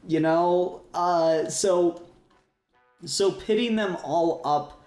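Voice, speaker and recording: A man's voice: medium pitch, a little mellow. A man chatting casually as a podcast co-host in a fan discussion, rambling with emphatic enthusiasm. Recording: roomy echo, music behind.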